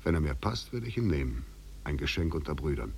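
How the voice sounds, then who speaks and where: A male actor playing a self-possessed escaped prisoner, measured and ironic, in a 1950s German radio drama. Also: rumbling low, sing-song, gravelly, a little mellow, full character voice. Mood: coolly demanding. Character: escaped prisoner, self-possessed, coolly demanding, philosophical man. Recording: noisy 1950s radio recording.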